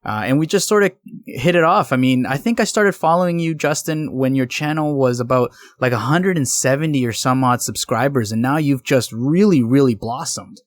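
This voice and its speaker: A man's voice: mid-range voice, normal speed, no room sound, clean studio sound. Easygoing male podcast host from Canada. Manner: friendly, easygoing questioner. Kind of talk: casual podcast interview.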